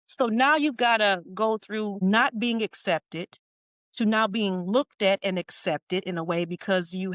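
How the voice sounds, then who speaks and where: A woman's voice: mid-range voice, fairly bright tone, dry recording. A middle-aged woman, a leadership coach, speaking earnestly and emphatically as a guest on a podcast, often circling back and restating her points. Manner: earnest, emphatic, with repeated phrases. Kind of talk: podcast interview.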